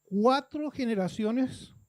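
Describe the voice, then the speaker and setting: High-voiced preacher. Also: male voice, slow, darkish tone. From Guatemala. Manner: conversational, acts out churchgoers' complaints. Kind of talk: sermon.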